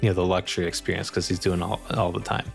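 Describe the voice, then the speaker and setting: A man with a lowish voice and plenty of vocal fry runs a tabletop game. He narrates casually and puts questions to his player over faint guitar music. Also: little pitch movement, fast talker. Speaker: game master.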